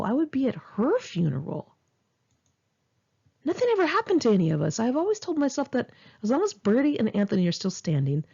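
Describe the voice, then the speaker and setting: Female author narrating her own memoir audiobook; conversational, animated intonation, slightly lo-fi sound. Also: a little high, breathy edge. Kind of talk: first-person memoir narration, audiobook read in one take.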